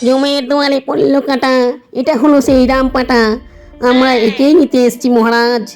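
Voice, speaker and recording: A woman's voice: sits high, little pitch movement, normal speed, music in the background. A woman voicing a fawning, cheeky male clerk of the god of death in a comic cartoon. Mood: explaining, threatening.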